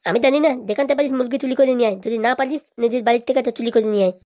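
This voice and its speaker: Woman voicing a bossy lisping little boy, rapid-fire. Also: a little high, mellow, dry recording, lo-fi sound, natural voice. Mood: bossy, threatening. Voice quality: childish lisp. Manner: bossy, threatening, scolding. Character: bossy little boy gang leader, cocky bully. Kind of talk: comic cartoon dialogue.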